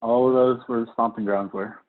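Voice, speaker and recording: A man's voice: medium pitch, brisk. A man from Washington State, introducing himself politely on a video call. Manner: polite, even-toned. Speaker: meeting participant. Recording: tinny phone-like line.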